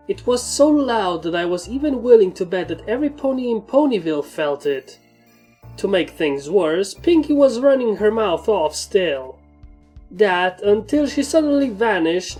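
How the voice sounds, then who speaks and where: A woman's voice: mid-range voice, warm tone. A woman giving a scripted narration of a story. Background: background music.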